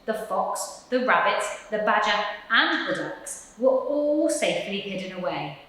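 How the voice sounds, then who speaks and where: Female children's story reader: dramatic emphasis, swooping intonation, measured speed, strong room echo. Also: mid-range voice, very animated, bright, forceful tone. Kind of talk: expressive children's picture-book reading. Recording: very echoey room.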